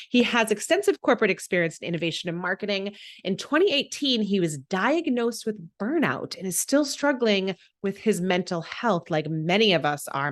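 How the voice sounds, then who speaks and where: A woman hosts an interview with an upbeat, enthusiastic manner, keen and encouraging toward her guest. Her voice sits at an ordinary middle pitch. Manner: enthusiastic, quick to laugh and affirm. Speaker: podcast host.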